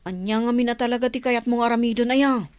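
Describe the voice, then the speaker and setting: Woman acting an indignant, high-voiced young woman, Ilocano accent. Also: quick pace, clean tone, no echo, lightly acted. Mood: angry, alarmed. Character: reluctant, indignant young woman resisting a scheme. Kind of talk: Ilocano audio drama acting. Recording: faint music behind the voice.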